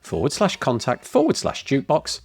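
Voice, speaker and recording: A man's voice: mid-range voice, moderate pace, slightly rough, soft background music. A man hosting a podcast, reading a scripted introduction and then interviewing his guest. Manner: lively, rising and falling delivery. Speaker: podcast host.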